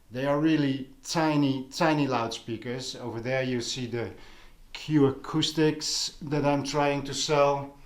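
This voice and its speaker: A man with a non-native English accent chatting casually in a vlog as an audio hobbyist, halting and pausing mid-thought in an unhurried way. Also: medium pitch. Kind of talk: casual vlog product chat.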